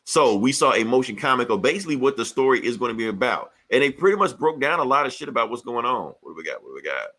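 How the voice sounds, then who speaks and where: A man hosting a casual pop-culture podcast, chatting in a profane, joking, animated way. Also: mid-range voice, fast, few pauses. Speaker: podcast host.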